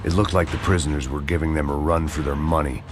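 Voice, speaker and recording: An actor playing a weary hard-boiled ex-cop, narrating in cynical, sardonic noir voice-over. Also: male voice, extremely low pitch, steady tone, fast talker. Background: music.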